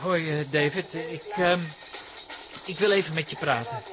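A man's voice: on the high side, unhurried, music in the background, lightly acted. An actor playing a fussy, uptight man in a radio play, agitated and whining, easily exasperated. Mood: nervous, flustered. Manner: agitated, whining, stammering when flustered.

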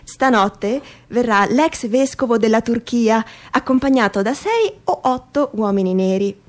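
A woman's voice: a little high, lively intonation, a little slow, voice pushed up. Woman acting an earnest parent giving a bedtime warning.

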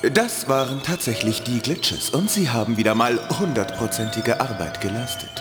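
A man's voice: mid-range voice, animated, normal speed, natural voice. Dry know-it-all decoder program, reverberant, man acting. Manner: precise, drily ironic. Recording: long reverb, music underneath.

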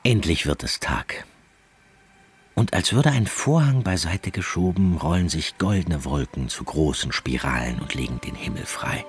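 A grave radio-play narrator with a very deep voice, tells a visionary tale. The delivery is intense and incantatory, with music clearly running underneath. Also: male voice, expressive, unhurried. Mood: hushed, awed. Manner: intense, incantatory, swinging from hushed to forceful.

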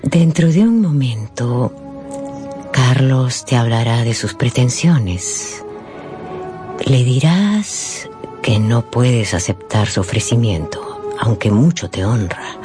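A tender, probing matriarch speaks in a measured, coaxing way. It is middle-aged radio drama acting with music clearly underneath. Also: female voice, very deep voice, expressive, full character voice. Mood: gentle coaxing. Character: gentle, probing mother and aunt of a genteel household.

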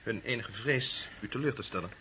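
A man's voice: mid-range voice, a little fast, sharp tone, breathy edge, rough recording. An actor in a radio play voicing a deferential colonel, formal and careful as he addresses his emperor. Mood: respectful, uneasy. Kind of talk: radio drama acting.

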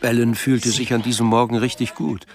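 A man's voice: mid-range voice, flat delivery, a little fast, natural voice. A man acting as the observant, wry narrator of a German radio drama, reading scripted literary prose.

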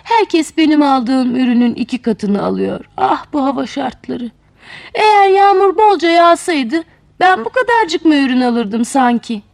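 A woman's voice: high voice, animated, unhurried, gravelly, dry recording, heavily acted. A woman voicing a lazy, self-pitying village man in a fairy tale, complaining and grumbling.

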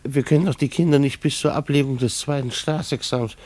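An actor in a German radio play playing a weary, dryly sarcastic husband, resigned and hesitant. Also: male voice, mid-range voice, steady tone, fairly quick, darkish tone, natural voice. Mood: dry, sceptical. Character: weary, dryly sarcastic husband, a civil servant who gives in.

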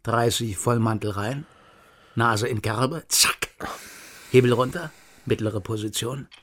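Hard-drinking East German hardliner, crime radio play, medium pitch, goading and conspiratorial, quietly threatening. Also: male voice, steady tone, slow. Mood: goading, boastful. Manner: clipped, needling, conspiratorial. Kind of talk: radio crime drama dialogue.

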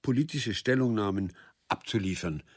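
A man with a husky voice giving a formal, courteous, drily delivered literary reading. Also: lowish voice, moderate pace.

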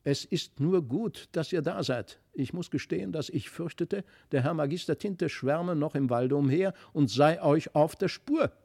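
A weary country gentleman from a storybook, acted out by a male reader. He is a wistful, kindly father with a soft-edged, mellow voice. His delivery is gentle and halting, heard close and dry. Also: fairly high pitch, gravelly, breathy edge, dry recording, exaggerated voice. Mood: relieved, worried.

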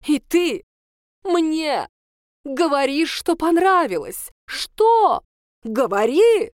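A woman voicing a fiery, demanding female schoolteacher who cross-examines a pupil in a shrill, hectoring way. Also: animated, extremely slow, gravelly, a bit bright, no room sound, studio quality, heavily acted.

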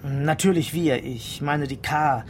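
Actor as a higher-voiced, reluctant male writer. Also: measured pace, slight background noise, lightly acted. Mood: objecting. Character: impatient male crime writer playing reluctant assistant. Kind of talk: German radio crime play acting.

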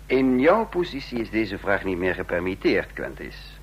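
Commanding, dramatic intelligence chief. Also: male voice, low voice, sing-song, slightly rough, exaggerated voice. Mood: cold, commanding. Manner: menacing, deliberate, formal. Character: cold calculating intelligence chief, narrating his own downfall. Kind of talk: radio drama acting and first-person narration. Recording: vintage radio play with faint hum.

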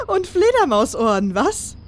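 Eine Frau spielt in einem Fantasy-Hörspiel eine junge Abenteurerin mit trockenem, neckendem Ton. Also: female voice, very high voice, slight background noise, heavily acted. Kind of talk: fantasy radio play acting.

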